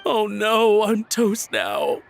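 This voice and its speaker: A man's voice: high voice, slow, vocal fry, faint music behind, full character voice. A man playing a cowardly, shady antiques collector in a video game, pleading and flustered in florid, stammering phrases. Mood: nervous, flustered.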